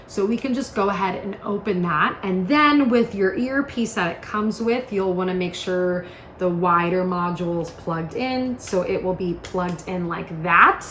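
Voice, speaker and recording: A woman's voice: fairly low pitch, unhurried, a bit bright. A woman giving a casual, chatty product demonstration, explaining things step by step in an informal, instructional way. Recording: roomy echo, faint background noise.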